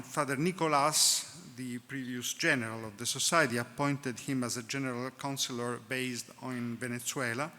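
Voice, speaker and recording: Halting host with hall echo. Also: male voice, mid-range voice, sharp tone. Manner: halting, many ums and uhs. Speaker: event host introducing the speaker. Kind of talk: formal introduction with fillers.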